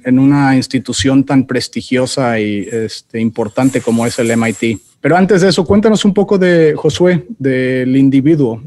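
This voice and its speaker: A man's voice: mid-range voice, a little mellow. A Mexican man with a Mexican Spanish accent hosting a podcast interview, giving formal introductions and chatting with "este" fillers. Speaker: podcast host. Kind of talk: conversational interview.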